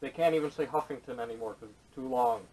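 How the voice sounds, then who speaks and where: A man's voice: mid-range voice, fast, darkish tone. A man chatting casually on a podcast panel with blunt, contrarian opinions, recorded through a camera microphone. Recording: distant camera audio, noisy.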